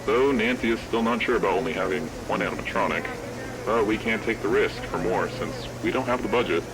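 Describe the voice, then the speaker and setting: A man's voice: lowish voice, fairly quick, lightly acted. A man playing an earnest, upbeat 1970s restaurant founder recording a casual, musing progress log for an analog horror series. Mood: earnest, businesslike. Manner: casual, musing, hesitant note-checking. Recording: noisy vintage tape-style recording.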